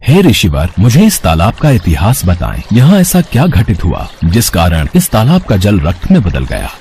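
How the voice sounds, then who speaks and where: A man voicing a calm, noble, compassionate divine prince in a cartoon devotional story, courteous and formal. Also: lowish voice, normal speed, slight background noise. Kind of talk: cartoon mythological story voice acting.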